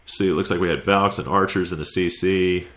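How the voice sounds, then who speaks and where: A man's voice: low voice, steady tone, a little fast, a bit bright. A man with a stuffy head cold giving laid-back, conversational play-by-play commentary over a video game recap. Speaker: clan war recap commentator.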